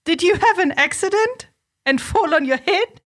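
A man voicing a rude, irritable vulture in a storybook, shrill and mocking, with drawn-out words. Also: lively intonation, fairly bright tone. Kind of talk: storybook character voice.

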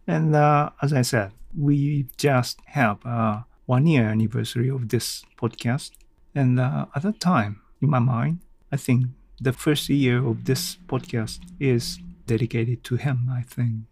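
Slow-paced Japanese-accented male podcaster, dry sound. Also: medium pitch, darkish tone, slightly rough, dry recording. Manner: halting, thinking aloud. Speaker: podcast host. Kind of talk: casual solo podcast talk.

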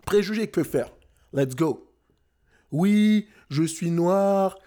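Young guy from france, fired-up motivational talk, slowish and kinda high voice. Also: mellow. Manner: emphatic, rousing, mixes English phrases into French. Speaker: motivational speaker.

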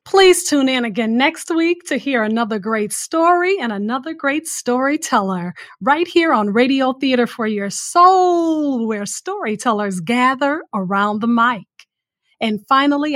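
Gracious, higher-voiced woman hosting a show. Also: lively intonation, measured pace, dry recording, studio quality. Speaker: podcast host. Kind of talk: warm interview hosting with sign-offs.

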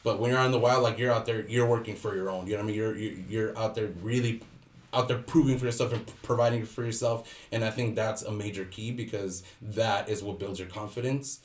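A man's voice: lowish voice, fairly even intonation, fairly quick. A man in his thirties, born in Mexico and raised in Los Angeles, chatting casually as a podcast guest, laid-back and slangy with lots of fillers. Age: 30s. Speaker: guest entrepreneur. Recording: roomy sound, off-mic.

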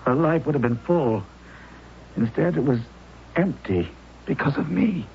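An actor playing a grieving widower in a 1970s radio drama, speaking in an anguished, intense way. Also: male voice, a little high, expressive, unhurried, vocal fry, slight background noise. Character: grieving widower, racked with guilt. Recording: muffled old radio broadcast.

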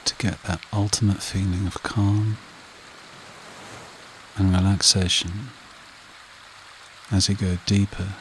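A man leading a guided meditation and hypnosis in a soothing, repetitive manner with short phrases and long pauses. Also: deep voice, slight background noise, rough recording. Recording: rain and running water behind voice. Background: steady rain and stream sounds.

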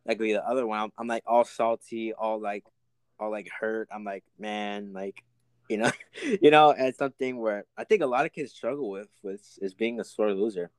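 Brief: young guy co-host, relaxed and laughing, lowish voice, dry close sound. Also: moderate pace, smooth, dry recording. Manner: easygoing, laughs often, filler-heavy. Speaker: podcast co-host. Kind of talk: casual chat.

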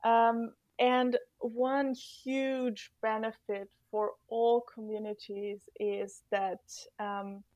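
A young woman from Austria, a former exchange student, answering podcast interview questions in a thoughtful, earnest way with soft laughs and fillers. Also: a little high, little pitch movement, very slow, few pauses, no room sound, dull audio. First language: German.

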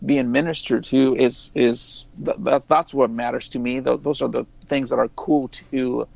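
A man speaking as an interview guest, reflective and earnest, in casual, halting sentences. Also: mid-range voice, steady tone, creaky, dry recording.

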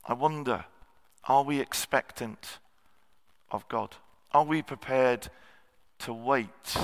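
Slightly husky man preaching. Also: mid-range voice, fairly bright tone. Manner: self-deprecating, frequent ums and throat clearing. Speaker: guest preacher. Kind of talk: sermon. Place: church hall. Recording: long church hall reverb.

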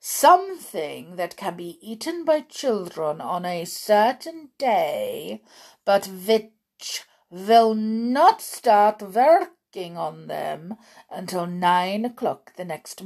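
A woman voicing a ruthless, vain female witch leader, giving orders in slow, emphatic, theatrical pronouncements in a storybook reading. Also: lowish voice, lively intonation, vocal fry, a little mellow, full character voice. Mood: scheming. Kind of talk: audiobook character reading.

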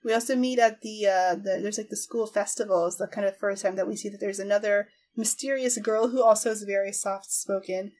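Female podcast speaker, average pitch, informal and meandering, laughs easily between phrases. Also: steady tone, a little fast, few pauses, a little mellow. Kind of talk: casual chat, loose recap.

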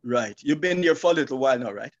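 An older man hosts a casual interview in a medium-pitched voice. The recording is dry and somewhat dull, like lo-fi call audio. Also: animated, fast, dry recording.

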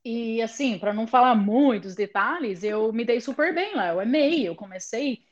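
A young English woman, a native English speaker, chatting in an interview as a lively storyteller. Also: fairly high pitch, normal speed, dull audio. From England. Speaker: interview guest. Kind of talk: casual conversation.

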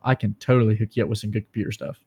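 A man co-hosting a casual gaming news podcast, talking excitedly about PC hardware and joking with his co-host. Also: lowish voice, very fast, gravelly, husky. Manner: excitable, breaks into dramatic movie-quote impressions.

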